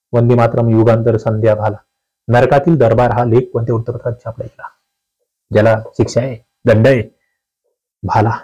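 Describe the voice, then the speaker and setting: Mellow man teaching exam questions. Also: mid-range voice, rough recording. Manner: conversational, puts rhetorical questions to students. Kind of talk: quiz lesson, reading questions aloud and explaining answers.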